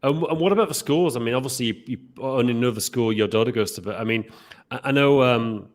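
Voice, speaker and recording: A man's voice: mid-range voice, quick pace. A man hosting a podcast interview, asking long rambling questions full of restarts. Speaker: podcast host. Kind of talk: casual interview.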